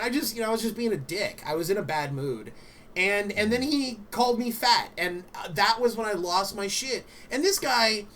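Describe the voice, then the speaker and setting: A man co-hosting a streamer talk show, telling animated stories in casual chat with many fillers and some swearing. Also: high-pitched, a little hiss or hum.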